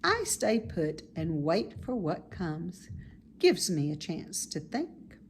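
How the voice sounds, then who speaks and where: A woman playing a wise, calm, self-assured female spider in a children's storybook, speaking in a measured, instructive way. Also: lowish voice, slightly rough, full character voice. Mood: contented, philosophical. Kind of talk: children's storybook read-aloud.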